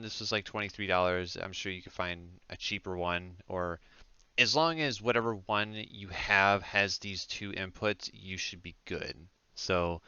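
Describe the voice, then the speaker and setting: A man's voice: fairly low pitch, sharp tone, smooth, no room sound, lo-fi sound. A man hosting a casual step-by-step tech tutorial as a voice-over, speaking informally with frequent hesitations. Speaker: tutorial host.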